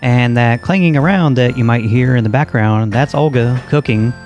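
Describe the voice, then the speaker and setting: A man hosting a prank call show in a casual, deadpan and insistent way. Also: mid-range voice, normal speed, soft background music. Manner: casual, insistent, straight-faced.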